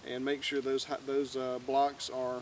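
A man's voice: mid-range voice, completely flat delivery, a little slow. A young man giving an informal, plain-spoken, folksy instructional talk to camera outdoors. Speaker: beekeeper giving a tutorial. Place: outdoors in a grassy clearing by woods. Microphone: far camera. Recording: distant camera mic, outdoor background noise.

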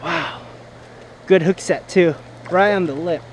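A man's voice: a little high, slow pace, slight background noise, low-quality recording. A young man vlogging while fishing from the rocks of a river, explaining his gear and whooping when he lands a fish, recorded on a head-mounted camera. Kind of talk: casual outdoor fishing vlog. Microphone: head-mounted action camera.

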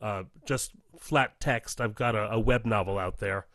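A middle-aged man answering as an interview guest in a halting, thoughtful way. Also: lowish voice, fairly bright tone. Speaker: guest, writer. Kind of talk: casual chat.

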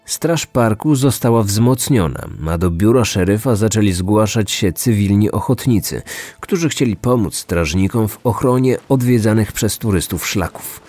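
A man's voice: lowish voice, animated, normal speed, darkish tone, no echo. A man hosting a true-crime podcast, reading a scripted narration. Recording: music bed behind the voice.

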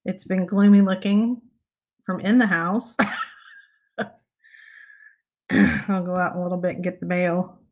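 A middle-aged woman with a Texan accent and a raspy voice, casually greeting viewers on a home livestream, often clearing her throat. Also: on the low side, takes it slowly, long pauses. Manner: relaxed, clears throat often, chuckles softly. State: raspy voice from little sleep. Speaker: prayer livestream host. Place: home study with bookshelves. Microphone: clip-on lapel mic, webcam.

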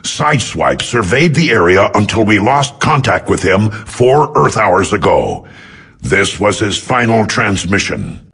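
An actor playing a noble giant robot leader in a video game, with a gravelly, husky voice and a solemn, authoritative, deliberate delivery. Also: male voice, low-pitched, a bit bright, exaggerated voice. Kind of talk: video game character acting.

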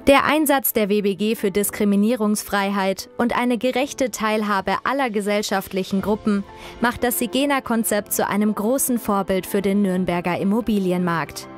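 A woman's voice: mid-range voice, normal speed. Female voice-over, polished documentary read over music. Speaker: narrator. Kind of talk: scripted documentary narration.

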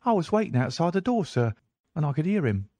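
An eager young servant boy acted out by a male narrator in an audiobook. The voice is high and chatty, full of servile deference, with working-class turns of phrase. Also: sing-song, a little fast, mellow, dry recording. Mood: eager, deferential. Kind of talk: audiobook character voice.

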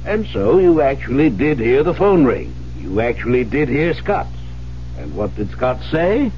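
An actor playing a skeptical, persistent psychiatrist in a 1970s radio drama, questioning firmly and deliberately. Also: male voice, mid-range voice, big pitch swings. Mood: probing. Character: probing, skeptical male psychiatrist, firm and persistent. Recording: muffled, noisy 1970s radio broadcast.

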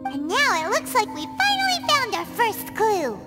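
A woman voicing a tiny, excitable childlike fairy companion in a video game, squeaky and perky. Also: moderate pace, full character voice. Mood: inquisitive. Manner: perky, speaks of self in third person. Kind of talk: scripted video game dialogue. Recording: loud music under the voice.

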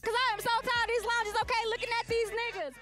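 A woman's voice: extremely high pitch, fairly even intonation, fast talker, crowd noise. A young woman hosting a casual interview, upbeat and chatty with slang. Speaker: host. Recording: roomy, distant, faint hiss.

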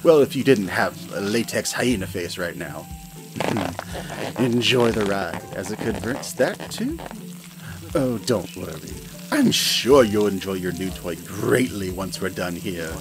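A man's voice: sits low, animated, slow pace, exaggerated voice, rough recording. A man voicing a smug male hyena mad scientist who talks in a silky, condescending, theatrical way, with frequent chuckles. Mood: gloating. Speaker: villainous scientist narrating to the listener. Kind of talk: hobby audio play. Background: background music.

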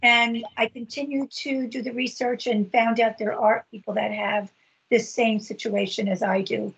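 A middle-aged woman talks on a video call. Her voice is husky and breathy, and her telling is earnest and halting. The sound is dull and lo-fi. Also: a little high, level tone. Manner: earnest, halting, many fillers. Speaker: patient advocate. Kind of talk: personal story in a video meeting.

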